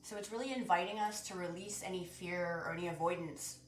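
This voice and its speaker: A woman's voice: lowish voice, steady tone, a little fast. Woman guiding a meditation, roomy echo. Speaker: guided meditation and sound bath host. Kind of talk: guided meditation, spiritual talk to listeners. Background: music.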